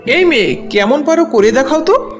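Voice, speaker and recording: Stern, impatient cartoon film director, played by a woman: high-pitched, swooping delivery. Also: expressive, moderate pace, faint music behind. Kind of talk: cartoon story dialogue.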